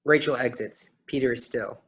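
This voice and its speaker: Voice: medium pitch, normal speed, slightly rough. A person reading stage directions for a play, in scripted narration style.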